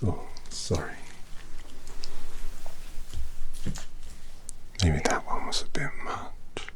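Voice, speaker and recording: A man's voice: natural voice, low-quality recording. A man whispering as a possessive vampire painter. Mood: sweetly apologetic. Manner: slow, intimate whispering. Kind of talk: ASMR roleplay.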